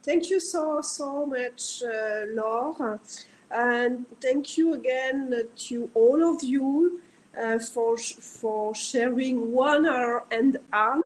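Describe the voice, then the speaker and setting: A woman with a French accent and French as her first language, moderating a webinar in halting English with repeated words. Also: high voice, slight background noise, rough recording. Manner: halting, frequent word repeats. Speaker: webinar moderator for a book office. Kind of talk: unscripted event hosting.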